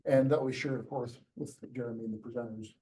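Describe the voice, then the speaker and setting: A man hosts a live pitch session in a crowded room and talks in a chatty, rambling way, often restarting his sentences. His voice is dark and mellow at an average pitch. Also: fairly even intonation, fast, husky, poor audio. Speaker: event host.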